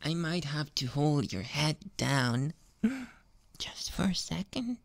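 Woman doing a clingy flirty catboy for asmr, really slow n whispery, low soft voice, close and dry. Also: lively intonation, dry recording, heavily acted. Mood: flirty, teasing. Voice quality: breathy whispering. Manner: slow, lingering, obsessively affectionate.

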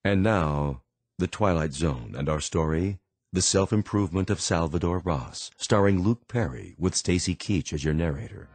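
A male announcer reading a scripted radio introduction. His voice is very deep and husky, recorded close and dry. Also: moderate pace, darkish tone, no room sound.

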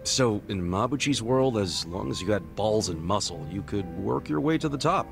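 A man's voice: on the low side, lively intonation, normal speed, creaky, over music. A man voicing a blunt, earnest, streetwise middle-aged underdog in a video game, slangy and frank. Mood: casual, frank. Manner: blunt, slangy, incredulous questioning. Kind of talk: video game cutscene dialogue acting.

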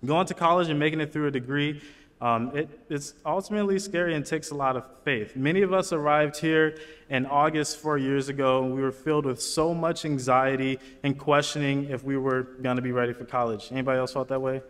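A man's voice: medium pitch, fairly even intonation, brisk, fairly bright tone, clear, resonant tone. A young man from Chicago giving a student commencement speech at a lectern on a large auditorium stage, earnest and chatty with asides to the crowd. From Chicago, USA. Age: young adult. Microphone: lectern microphone. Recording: long hall reverb.

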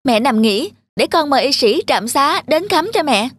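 A woman's voice: high voice, expressive, moderate pace, soft background music. A woman voicing a dutiful, worried young village boy in a cartoon, speaking earnestly and politely. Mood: worried, insistent. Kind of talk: cartoon dubbing.

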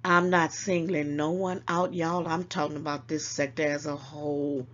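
A woman's voice: a little high, fairly bright tone, muffled recording. An older woman hosting an online talk show, scolding and preaching to her viewers and calling them baby, at times a little congested. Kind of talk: casual commentary to viewers.

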